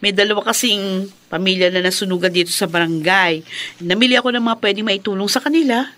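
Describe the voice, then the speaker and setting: Actress as bright, chatty woman friend. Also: medium pitch, fast, lightly acted. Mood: friendly, chatty. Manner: chatty, welcoming. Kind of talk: Filipino radio soap opera acting.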